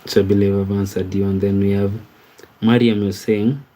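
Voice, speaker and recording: A man from Kenya hosting a casual livestream, reading viewer comments aloud and answering them in a halting way, with frequent repeats and restarts. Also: lowish voice, flat delivery, dark tone. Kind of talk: casual live-stream chat.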